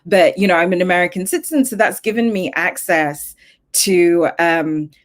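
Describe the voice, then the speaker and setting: A woman's voice: lowish voice, normal speed. A Zambian-born woman, a tech founder, speaking on a panel discussion, thoughtful, with frequent fillers and restarts.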